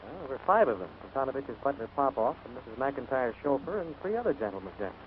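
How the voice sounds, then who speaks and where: A man playing a suave, wry gentleman employer in a 1940s radio comedy. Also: mid-range voice, sing-song, quick pace, close to an everyday voice. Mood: teasing, amused. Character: suave, observant gentleman employer, teasing his servant. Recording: scratchy, narrow-band 1940s radio broadcast.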